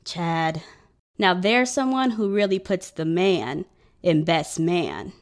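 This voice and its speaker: Teasing, animated young bridesmaid, played by a woman. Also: lowish voice, takes it slowly. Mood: playful, supportive. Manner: playful, sardonic banter. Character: teasing, loyal maid of honor, young woman. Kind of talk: audio drama acting.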